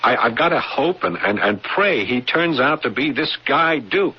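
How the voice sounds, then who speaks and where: A man playing a troubled, guilt-ridden husband and artist in a 1980s radio drama, earnest and halting in his speech. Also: a little high, lively intonation, husky. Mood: anguished, guilty. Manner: halting, earnest, repeats words. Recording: muffled, noisy 1980s radio broadcast.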